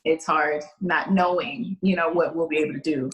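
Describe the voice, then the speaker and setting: A woman in her late thirties tells stories in a chatty, animated way, her voice sitting fairly low. The room around her rings with noticeable echo. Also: normal speed, slight background noise. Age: 30s. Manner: animated storyteller, chatty and expressive. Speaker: podcast guest. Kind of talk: casual chat.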